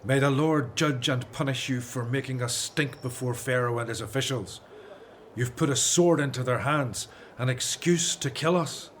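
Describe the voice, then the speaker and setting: Actor as earnest Hebrew leader, mid-pitched. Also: male voice, normal speed, fairly bright tone, slight background noise, close to an everyday voice. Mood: grave, troubled. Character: Moses, earnest resolute Hebrew leader of his people. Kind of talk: religious radio drama acting.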